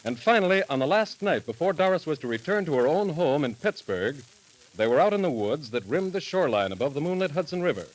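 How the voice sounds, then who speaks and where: A man narrating in the formal, measured, dramatic style of a 1930s radio announcer. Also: on the high side, big pitch swings, poor audio. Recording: vintage 1938 radio broadcast recording.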